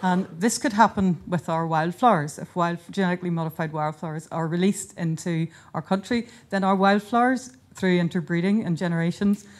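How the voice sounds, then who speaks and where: A woman giving an earnest, informal conference talk in a hotel conference room, often pausing and restarting her sentences. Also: on the low side. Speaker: conference speaker and seed charity founder. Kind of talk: conference presentation.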